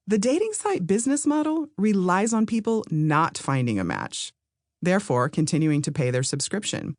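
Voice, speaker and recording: A woman author reading her own nonfiction audiobook in a measured, explanatory way, speaking to the listener. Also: lowish voice, a little mellow, dry recording, clean studio sound. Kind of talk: scripted nonfiction narration.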